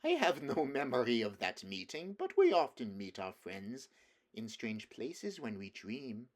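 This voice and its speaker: A man voicing a soft-spoken, coaxing witch queen in a storybook reading, in a sweet, quiet, half-mocking way. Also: mid-range voice, wildly swooping pitch, a little slow. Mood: soothing. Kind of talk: audiobook character voice.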